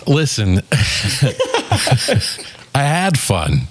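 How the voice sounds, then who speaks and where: A man's voice: mid-range voice, wildly swooping pitch, very slow, a little hiss or hum. A man co-hosting a film review podcast, chatting casually in a wry way. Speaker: podcast co-host.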